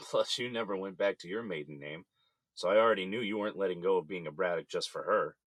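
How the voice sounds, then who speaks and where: An actor with an American accent playing a regretful, resigned middle-aged husband in jail, subdued and conceding, in an audio drama. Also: male voice, low voice, fairly quick, no room sound, low-quality recording. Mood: wry, conceding.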